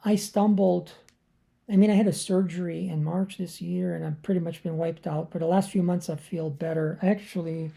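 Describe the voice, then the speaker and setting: Raspy, gravelly-voiced man. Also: high-pitched, fairly even intonation, moderate pace, warm tone. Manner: loose and conversational, with frequent filler sounds. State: hoarse. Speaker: sales trainer hosting a webinar. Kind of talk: live webinar presentation. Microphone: computer or dial-in mic on a live online call.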